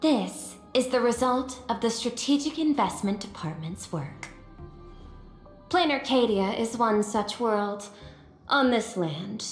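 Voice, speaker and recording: An actress playing a composed, analytical corporate executive in a sci-fi video game, speaking formally and with measured precision. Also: female voice, fairly high pitch, roomy sound. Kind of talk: scripted video game character dialogue.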